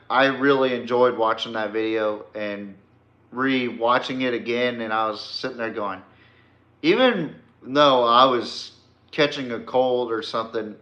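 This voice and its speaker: A man's voice: medium pitch, pushed and bright, echoey room. A man hosting a casual solo podcast, talking bluntly and with casual swearing into a podcast microphone. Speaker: podcast host.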